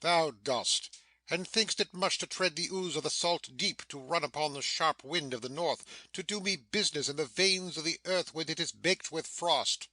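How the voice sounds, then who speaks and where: Man playing imperious sorcerer Prospero, bright forceful voice. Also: fairly high pitch, moderate pace, dry recording. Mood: scolding anger. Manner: declamatory, imperious. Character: Prospero, stern, commanding sorcerer master, imperious and quick to scold. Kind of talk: dramatic reading of Shakespeare.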